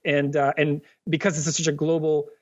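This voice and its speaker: A man's voice: a little high, little pitch movement, normal speed. Earnest man from Venezuela, muffled audio. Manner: earnest, frequent fillers and restarts. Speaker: guest, company cofounder. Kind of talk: interview answers.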